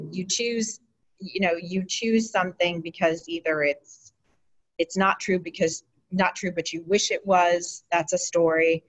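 A middle-aged woman speaks up as a participant on a video call over her laptop. Her voice sits slightly low. She makes her point in an earnest, emphatic way. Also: measured pace, rough recording. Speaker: workshop participant. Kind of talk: casual group video call. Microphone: laptop webcam on video call.

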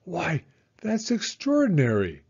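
A man's voice: fairly high pitch, wildly swooping pitch, slow, creaky, a little mellow, husky, lo-fi sound, pitched above the performer's own voice, exaggerated voice. A man voicing a dramatic, easily astonished town mayor in a children's storybook, with emphatic delivery. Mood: amazed.

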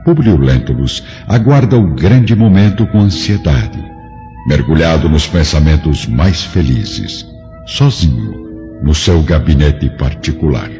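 A man narrating an audiobook drama in a measured, dramatic way. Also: rumbling low, sing-song, dark tone. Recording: dull lo-fi, clear music behind.

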